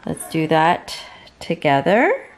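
A woman hosting a cooking channel, casually demonstrating a gadget in her home kitchen, chatty and relaxed with small fillers. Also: sits low, takes it slowly. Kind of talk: casual product demo.

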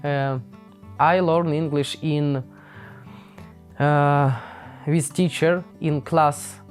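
A young man from Ukraine with a Ukrainian accent, speaking unscripted to camera in a halting, hesitant way, with many pauses and fillers. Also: on the high side, steady tone. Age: young adult. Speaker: vlogger. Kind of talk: unscripted casual talk to camera. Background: faint guitar music.

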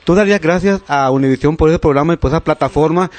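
A man's voice: a little high, fast talker. A middle-aged Salvadoran man with a Salvadoran Spanish accent, hosting a casual interview in an effusive, playful way on a handheld microphone. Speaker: interviewer and show host. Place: small decorated room indoors.

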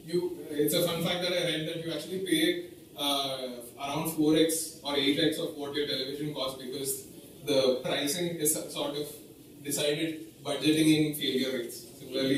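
A young man with an Indian accent giving a meetup tech presentation in a hesitant, explanatory way, with frequent filler words. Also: fairly high pitch. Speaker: tech speaker. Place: indoor meeting room, standing by a projection screen. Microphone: picked up from a distance. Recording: very echoey room, distant mic, faint noise.

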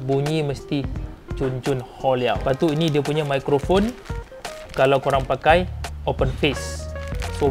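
Casting: Malaysian man, 30s, slightly high voice, informal and enthusiastic product vlogger, faint music underneath. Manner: informal, enthusiastic presenter with slang and catchphrases. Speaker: motovlog host. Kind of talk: casual product unboxing vlog. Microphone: clip-on lapel mic.

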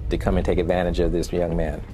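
A man commenting in a news interview soundbite with deliberate, emphatic explaining. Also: sits low, little pitch movement, dark tone, soft background music.

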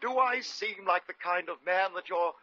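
An actor playing a genteel British shop owner, courtly, halting and full of flattery, in a 1950s radio comedy. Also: male voice, sits high, dry recording. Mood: earnest, persuasive. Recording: muffled vintage 1950 radio recording.

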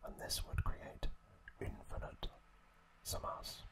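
A man whispering an ASMR story recap in a hushed, unhurried, hesitant way as the host.

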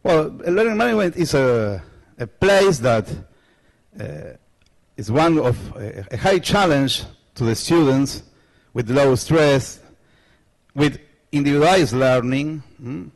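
Slow-talking Argentinian man presenting on stage. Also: medium pitch, animated, gravelly. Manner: halting non-native English with many fillers and restarts. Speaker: conference presenter. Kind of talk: conference talk. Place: large lecture hall stage. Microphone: handheld microphone.

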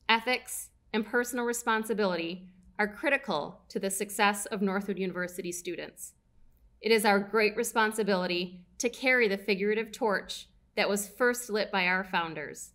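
A young woman reads a prepared address from a podium mic. Her delivery is measured and even. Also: medium pitch. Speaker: alumni relations director. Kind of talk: read formal address. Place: stage at a podium.

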